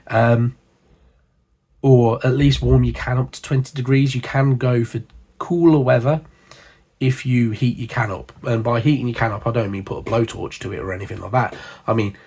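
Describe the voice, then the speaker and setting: Husky, gravelly man with a cold. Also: mid-range voice, a little mellow. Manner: chatty, rambling, with frequent fillers. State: hoarse from a cold. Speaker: miniature painting channel host. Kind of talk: casual hobby chat to camera.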